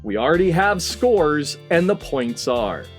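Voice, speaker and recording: Higher-pitched man hosting a comedy game show. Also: sing-song, measured pace, faint music behind. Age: middle-aged. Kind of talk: formal scripted introductions for comedy panel game.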